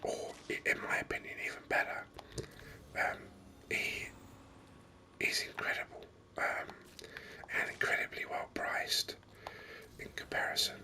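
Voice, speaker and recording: A man speaks entirely in a very bright, sibilant whisper. He narrates a video game ASMR-style, breathy and close to the mic. His delivery is unhurried, as if thinking aloud. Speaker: gaming video host.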